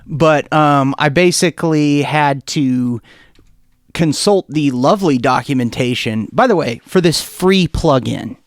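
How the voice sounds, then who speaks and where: A man giving an informal, enthusiastic software tutorial, talking off the cuff and thinking aloud as he demonstrates. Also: on the high side, unhurried, dry recording, clean studio sound. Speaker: music production tutorial presenter.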